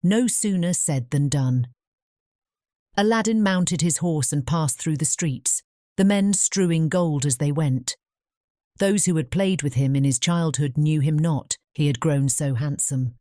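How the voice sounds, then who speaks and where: A woman narrating a scripted fairy tale as an audiobook, in a measured storytelling manner. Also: deep voice, dark tone, dry recording, studio quality.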